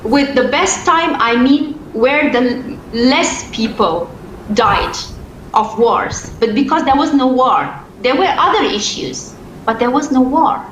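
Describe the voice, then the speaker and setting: A woman's voice: high-pitched, animated, a little slow. A woman in her thirties from Afghanistan, answering interview questions with many hesitations and restarts. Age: 30s. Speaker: interview guest. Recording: echoey distant remote call with faint noise.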